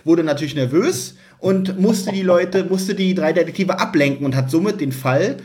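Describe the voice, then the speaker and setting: A man with a fairly high voice chats as a podcast co-host. He retells a story informally, feeling for the right word and briefly acting out lines as he goes. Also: moderate pace, a little mellow. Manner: acts out quotes, hesitant searching for words. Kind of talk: casual podcast chat, retelling and critiquing a story.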